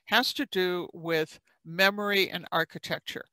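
A woman's voice: lowish voice, fairly even intonation, a little slow, bright, forceful tone, no room sound, rough recording. A woman from the South Side of Chicago with a Chicago accent, giving a chatty online workshop presentation and acting out quoted speech.

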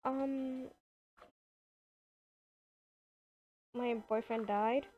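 A shy, grieving young woman in a video game roleplay, played by a woman. She speaks softly and haltingly at a slow pace, through muffled, lo-fi audio. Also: on the high side, fairly even intonation, voice pushed up. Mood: subdued. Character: shy, bereaved young woman, new in town.

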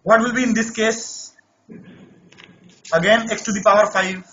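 Man, online math tutor, coaxing, checks in often, voice a bit off, high and very bright, dull lo-fi sound. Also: fairly even intonation, a little slow, a little hiss or hum. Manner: coaxing, repeats checks like "right?". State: voice not normal, says he lost his voice. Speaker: math teacher. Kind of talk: live online class, problem solving.

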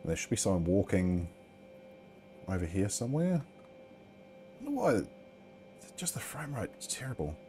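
Low-voiced Kiwi man rambling through a flight. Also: very animated, normal speed, long pauses, dark tone, faint music behind. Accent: New Zealand. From New Zealand. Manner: rambling, many ums, mildly sweary. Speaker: flight sim streamer. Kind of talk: casual gameplay commentary. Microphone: headset.

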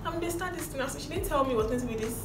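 A woman's voice: sits high, lively intonation, very fast, background noise. A young woman with a Nigerian accent, chatting casually as she hosts an interview. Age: young adult. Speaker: YouTube host. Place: small room indoors, seated beside guest. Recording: echoey room, distant mic, music underneath.

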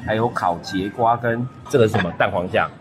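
A man's voice: on the low side, fairly quick. Chatty Taiwanese man touring a buffet. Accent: Taiwanese Mandarin. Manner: chatty, lists dishes with frequent 哈 and 啊 tags. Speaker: food vlogger. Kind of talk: casual restaurant walkthrough. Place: busy hotel buffet restaurant indoors. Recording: noisy restaurant background.

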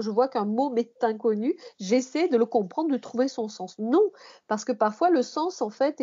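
Higher-pitched woman with a husky, mellow voice. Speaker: guest expert, language teacher and researcher. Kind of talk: explanatory podcast interview. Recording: muffled, band-limited podcast audio.

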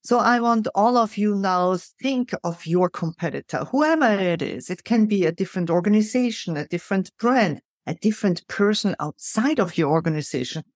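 A woman's voice: medium pitch, moderate pace, few pauses, dry recording, studio quality. A middle-aged woman with a mixed European accent, telling stories emphatically as an interview guest. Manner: animated, emphatic storyteller. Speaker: guest, keynote speaker. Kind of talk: storytelling interview answers.

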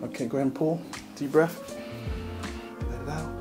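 A middle-aged man working as a chiropractor, chatting casually and giving calm instructions to a patient. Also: fairly high pitch, moderate pace. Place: small treatment room. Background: music.

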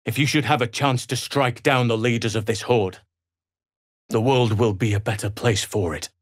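An actor playing a gentle, solemn druid healer in a fantasy video game, speaking in a measured, earnest, formal way. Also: male voice, medium pitch, steady tone, no room sound, clean studio sound, close to an everyday voice. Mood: warm, earnest.

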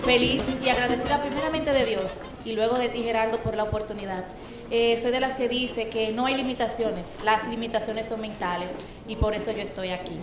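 A woman's voice: fairly high pitch, steady tone, a little fast, roomy sound, over music. A young adult woman, an actress and reporter, introducing herself on a TV show. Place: indoor restaurant by an aquarium. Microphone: handheld microphone.

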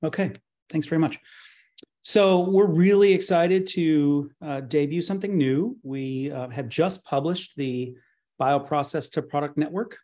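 A middle-aged man giving an online slide presentation in halting, pause-filled phrases. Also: a little high, a little mellow. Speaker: conference presenter, nonprofit co-founder. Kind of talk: slide presentation at a virtual expo. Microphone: webcam on a video call.

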